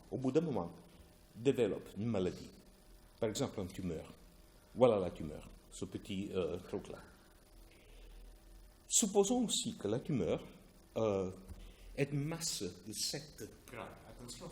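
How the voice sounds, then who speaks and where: A man in his sixties, born in Italy and raised in the United States, giving a hesitant, explanatory academic presentation as a chemistry professor, pausing often with fillers. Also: medium pitch, darkish tone. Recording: echoey room, distant mic, faint noise.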